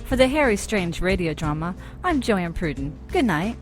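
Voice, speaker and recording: Lively woman announcer over music. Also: lowish voice, normal speed. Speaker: radio drama announcer. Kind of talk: scripted closing credits.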